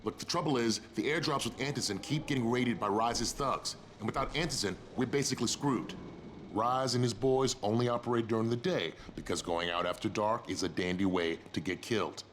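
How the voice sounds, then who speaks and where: A man playing a gruff, no-nonsense survivor in a video game, giving curt, sardonic briefings. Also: medium pitch, fairly quick, a bit bright, slight background noise, lightly acted. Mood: businesslike, grim. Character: gruff, no-nonsense male survivor handing out jobs. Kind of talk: video game dialogue acting.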